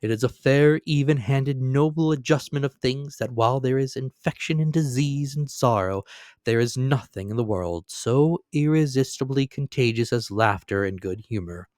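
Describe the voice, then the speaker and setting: A man narrating a classic novel as a dramatic, expressive storytelling reading. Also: medium pitch, normal speed, no room sound, studio quality.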